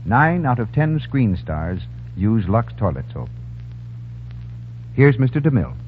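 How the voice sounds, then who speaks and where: A man's voice: mid-range voice, very animated, a little slow, a little hiss or hum. A man reading a scripted commercial in 1930s radio announcer style. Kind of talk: 1930s radio advertising read. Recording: tinny, humming 1930s radio recording.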